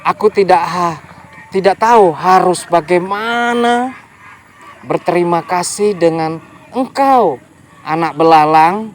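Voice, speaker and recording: Guy doing a big hurting crocodile, slow groany storybook voice. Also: high-pitched, a little hiss or hum, pitched above the performer's own voice. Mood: grateful. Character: big male crocodile in pain, gruff then grateful.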